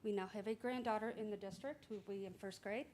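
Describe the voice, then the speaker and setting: A woman's voice: medium pitch, fairly even intonation, quick pace, a little mellow, a little hiss or hum. A middle-aged woman interviewing as a school board candidate, speaking in a casual, chatty way with hesitant fillers. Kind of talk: public interview. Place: school board meeting room.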